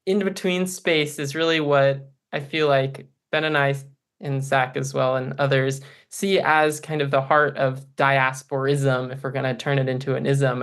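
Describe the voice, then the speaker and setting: A man's voice: medium pitch, a bit bright, clear, resonant tone. A man co-hosting a podcast, speaking in a welcoming, appreciative conversational way as he interviews. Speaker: podcast co-host.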